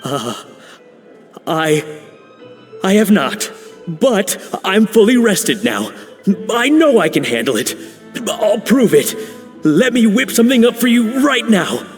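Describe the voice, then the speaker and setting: An earnest father and chef whose somewhat high voice falters and hesitates. The game audio is reverberant with soft music behind. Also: very animated, slow, long pauses, full character voice. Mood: anxious. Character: middle-aged anxious male chef, a father. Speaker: video game character.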